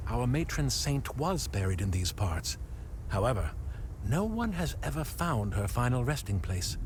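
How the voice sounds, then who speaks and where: An actor playing a zealous, hateful priest, solemn and preachy, in a video game. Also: male voice, mid-range voice. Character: zealous, pompous holy war priest, fanatical and hateful. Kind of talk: video game dialogue, sermonizing. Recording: clear ambient noise behind voice.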